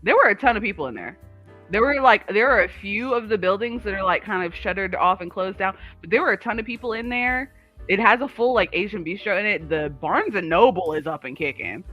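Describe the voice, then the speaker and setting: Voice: medium pitch, lively intonation, a little fast, highs cut off, faint music behind. A livestream co-host chatting casually and informally, telling rambling personal stories peppered with filler words. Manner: informal, filler-heavy with frequent "like".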